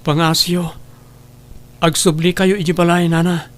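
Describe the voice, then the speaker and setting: An actor playing a dutiful, apologetic grown son in a family drama, speaking earnestly and respectfully. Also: male voice, a little high, flat delivery, measured pace, natural voice. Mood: earnest, concerned. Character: dutiful adult son, apologetic and concerned for poor neighbours. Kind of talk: Ilocano radio-style family drama acting.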